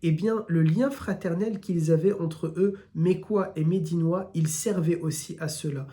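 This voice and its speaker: A man's voice: fairly high pitch, measured pace, dark tone. A man with a southern French accent giving a live religious lesson, building his points through repeated lists and speaking straight to his listeners. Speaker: Islamic teacher.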